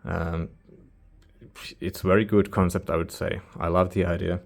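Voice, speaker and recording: Male reviewer, non-native English speaker: low-pitched, very even intonation, informal and halting, rambling casually. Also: creaky. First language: not English. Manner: hesitant, informal, searching for words. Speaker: music reviewer, channel host.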